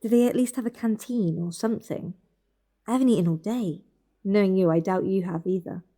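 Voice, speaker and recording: An actress playing a dry, concerned sister in a naturalistic audio drama, with a wry, conversational delivery. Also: female voice, mid-range voice, fairly quick, warm tone, natural voice. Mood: practical. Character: dry, concerned adult sister keeping vigil.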